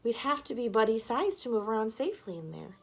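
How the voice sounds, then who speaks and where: A woman voicing a calm, practical father in a storybook, gently reasoning and reassuring. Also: fairly high pitch, fast talker. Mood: gentle.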